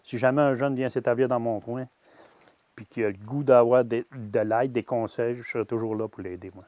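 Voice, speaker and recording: A Québécois man in his sixties tells his story in a plain, middle-pitched voice, halting now and then on fillers and false starts. The sound is dry and close, with no room echo. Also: steady tone, a little mellow. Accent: Québécois French. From Quebec. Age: 60s. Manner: hesitant, many "euh" fillers and restarts. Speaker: grain-fed veal farmer. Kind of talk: personal testimony.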